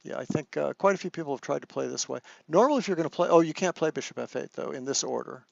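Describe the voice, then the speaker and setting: A man teaching a live online chess lesson, soft-spoken and thinking aloud as he walks through moves, with frequent restarts. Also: a little high, fast, gravelly, dry recording, muffled recording. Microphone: desktop microphone.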